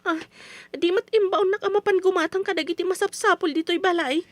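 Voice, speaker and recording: Young wife, high-pitched, frightened, pleading, old-time radio drama, dry sound. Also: female voice, steady tone, fairly quick, dry recording.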